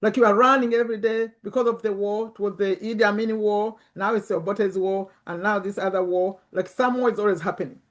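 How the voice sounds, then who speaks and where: A woman's voice: medium pitch, fairly even intonation, brisk, few pauses. A woman in her fifties with a Ugandan accent, giving an informal motivational talk and repeating words for emphasis. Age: 50s. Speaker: personal finance YouTuber and community host. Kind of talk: informal motivational talk to camera and online group.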